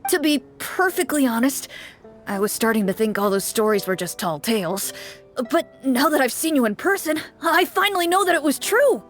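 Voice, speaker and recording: A woman's voice: high voice, lively intonation, moderate pace, soft background music. Woman doing a fretful, teary townsman. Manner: fretful and gushing, breaks into shaky relief. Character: anxious, tearful middle-aged townsman.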